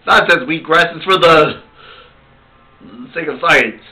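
A man improvising amateur puppet show dialogue as the puppeteer, with a halting, rambling delivery. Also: a little high, bright, forceful tone, low-quality recording. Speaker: puppeteer voicing all the characters.

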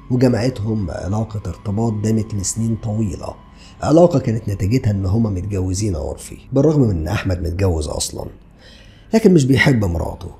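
Fast, gravelly Egyptian man telling true crime. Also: on the low side, warm tone. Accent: Egyptian Arabic. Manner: addresses listener directly with rhetorical questions. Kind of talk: dramatic true crime narration. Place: small dark studio indoors. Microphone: podcast mic on stand in front.

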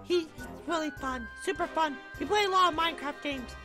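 Squeaky, dramatic animatronic ballerina. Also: female voice, a little fast, pushed and bright, faint music behind. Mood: chatty. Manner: exclamatory, dramatic, flustered. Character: excitable, whiny female animatronic ballerina adventurer. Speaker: video channel character host. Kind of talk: Minecraft roleplay video acting.

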